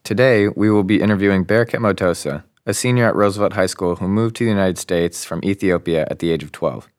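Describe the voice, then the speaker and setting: Low-voiced young man reading a script. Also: fast talker, no echo, clean studio sound. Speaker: student podcast co-host. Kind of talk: read script narration.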